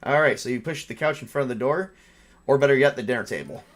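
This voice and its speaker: A man casually narrating a tabletop roleplaying game, improvising as he goes in a loose, halting way. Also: medium pitch. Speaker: game master and player.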